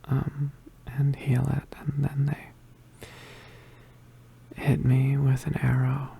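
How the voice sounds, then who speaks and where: A performer voicing an injured, poisoned young male witch in an ASMR roleplay, weak and apologetic, mostly whispering in halting, pained breaths. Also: mid-range voice, completely flat delivery, mellow, exaggerated voice. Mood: pained, weary. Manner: halting, pained, with sighs and throat clearing.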